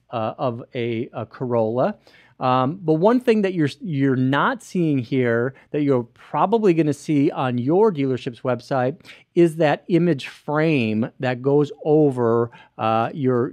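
A man in his thirties hosts an explanatory web show, his voice sitting at an ordinary middle pitch. He talks loosely, with frequent fillers and restarts. The sound is dry and close, picked up by a podcast mic on a boom arm. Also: darkish tone, dry recording. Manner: gestures a lot, many fillers and restarts. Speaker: host. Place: small studio with screen behind. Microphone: boom-arm podcast mic close to mouth.

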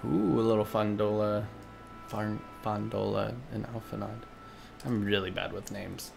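Casting: male streamer, thirties, slow gravelly casual reactions over clear game music. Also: on the low side, fairly even intonation. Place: indoor room at a streaming desk. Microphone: desktop USB microphone.

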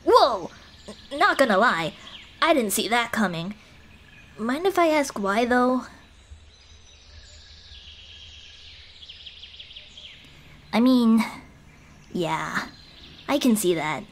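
A woman voicing a small, shy, kind-hearted goblin girl in an ASMR roleplay, speaking hesitantly and gently to comfort the listener. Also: a little high, very animated, exaggerated voice. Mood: surprised. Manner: hesitant, timid, soft-hearted, halting. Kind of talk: ASMR roleplay, intimate one-sided dialogue. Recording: birdsong and outdoor ambience behind voice. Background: birdsong, nature ambience.

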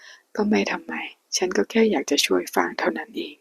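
A woman's voice: on the low side, fairly quick, creaky, slight background noise. A woman voicing a smug, mocking young man in a whispered story reading. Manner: taunting and sly, hushed.

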